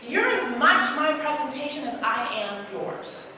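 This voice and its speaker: A woman with a Trinidadian accent, a motivational speaker giving an energetic live talk to an audience in a large hall, asking them questions and telling personal stories. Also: high voice, unhurried, a little hiss or hum. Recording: echoey hall, mic heard from a distance.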